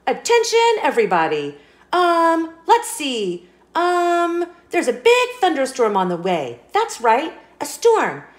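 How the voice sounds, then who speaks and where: A woman's voice: high voice, wildly swooping pitch, slow, roomy sound, voice pushed up, heavily acted. A woman voicing a grumpy, anxious, scheming male sunflower seed in a children's storybook, with dramatic, exclamatory delivery. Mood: loud, urgent. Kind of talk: children's storybook read-aloud.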